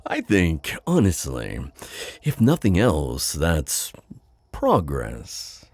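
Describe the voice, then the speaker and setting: A male actor voices a predatory, flirtatious villain for an intimate ASMR roleplay. His voice is extremely low and husky, recorded dry and close. He taunts slowly, with big swings in intonation. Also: wildly swooping pitch, a little mellow, no room sound, full character voice. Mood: smug, taunting. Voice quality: very deep, gravelly, breathy. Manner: slow, taunting, smooth-talking with drawn-out pauses. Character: teasing, predatory male buyer at a supernatural auction.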